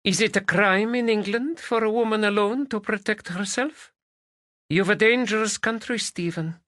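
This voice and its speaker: A man's voice: high voice, normal speed, fairly bright tone, no room sound, clean studio sound, voice pushed up. A man voicing a proud, fiery Spanish noblewoman in an audiobook, speaking emotional, slightly broken English with a Spanish accent. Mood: teasing. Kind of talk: audiobook character voice.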